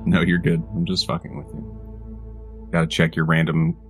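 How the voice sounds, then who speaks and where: A man with a notably low voice talks casually as a player in a tabletop game. He is dry and teasing, and faint music plays behind him. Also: monotone, normal speed.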